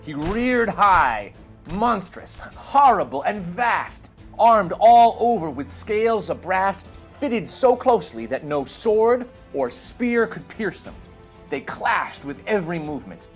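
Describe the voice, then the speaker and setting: A man reading a children's adventure story aloud in a theatrical, expressive, dramatic narrating style. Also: high-pitched, slow, faint music behind.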